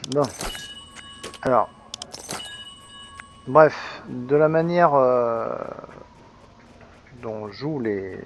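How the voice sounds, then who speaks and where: A man's voice: lowish voice, creaky, a little hiss or hum. A man hosting a let's play, giving casual, rambling commentary full of hesitations and restarts as he plays.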